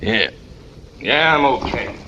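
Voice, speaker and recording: An American actor playing a brooding, lovesick trapeze artist turned killer, terse and hard-boiled, in 1940s radio noir style. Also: male voice, mid-range voice, animated, takes it slowly, lo-fi sound, noisy background. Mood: defensive. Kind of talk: 1940s radio noir acting, confessional narration. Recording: old 1940s radio recording with music.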